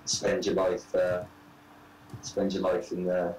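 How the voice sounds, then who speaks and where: A man's voice: low voice, monotone, takes it slowly, a little mellow, recorded from a distance. A man with a West Country accent, from the Hereford area, chatting hesitantly with lots of fillers while he plays. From Hereford area, English-Welsh border. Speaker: opposing player. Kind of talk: casual chat while gaming. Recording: roomy with faint noise.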